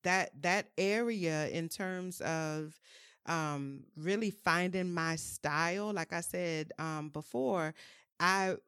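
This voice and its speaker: A woman's voice: on the low side, slow, fairly bright tone, clean tone, no room sound, clean studio sound. A woman from New Orleans, talking openly in a personal conversation, with frequent "um"s and "you know"s. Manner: open and frank, many "um"s and "you know"s.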